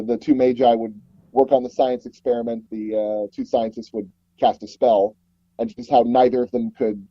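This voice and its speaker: A man's voice: mid-range voice, dark tone, dry recording, dull audio. A man speaking as an interview guest, an audio drama producer telling casual stories and acting out the dialogue he quotes. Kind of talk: casual anecdotal interview storytelling.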